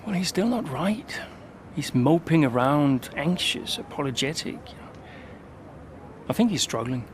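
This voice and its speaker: A man's voice: fairly high pitch, lively intonation, unhurried, a little hiss or hum. A man playing a moody, hesitant veteran racing driver near retirement in a naturalistic video game drama. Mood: troubled, hesitant. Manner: hesitant, guarded.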